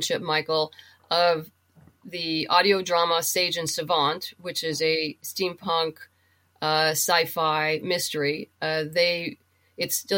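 A woman's voice: on the low side, fairly bright tone. A middle-aged woman chatting and explaining things casually as a guest in an interview. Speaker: podcast guest, writer and producer.

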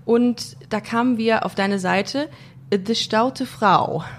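A woman's voice: a little high, slow pace, slight background noise. A woman hosting a podcast in casual interview chat, joking and talking with many fillers and restarts. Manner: chatty and jokey, with frequent fillers and restarts. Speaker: podcast host.

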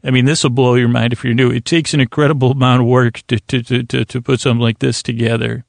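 A male podcast host with a gravelly, creaky edge, recorded close and dry. He delivers a meandering bedtime monologue, halting and doubling back on his phrases in a self-deprecating way. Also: medium pitch, little pitch movement, no room sound. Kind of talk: rambling bedtime monologue, meant to lull.

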